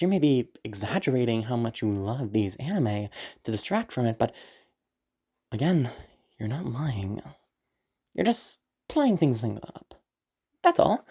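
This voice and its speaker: A woman with a low, deep, mellow voice talks through a casual afterword. She rambles chattily and laughs often. She is a little sick, so her throat sounds worn. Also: husky. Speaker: audio roleplay creator. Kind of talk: casual spoken afterword, explaining.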